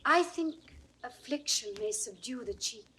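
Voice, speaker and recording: Casting: gentle steadfast princess, bright clear tone, very slow classical delivery, old drama recording. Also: female voice, on the high side, animated, slight background noise. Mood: modest, steadfast. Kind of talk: classical Shakespearean stage acting, 1960s audio recording.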